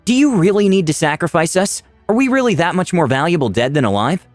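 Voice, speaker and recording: An earnest male adventurer played by a man in a narrated fantasy tale, pitched up and animated. He speaks politely and coaxingly, pleading like someone thinking on his feet. The sound is dry. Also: fast talker, dry recording, soft background music, natural voice. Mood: desperate pleading.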